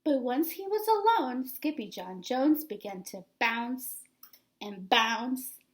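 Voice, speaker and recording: A woman reads a storybook aloud for children in a high voice. Her delivery is slow, deliberate and expressive. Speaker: storybook reader.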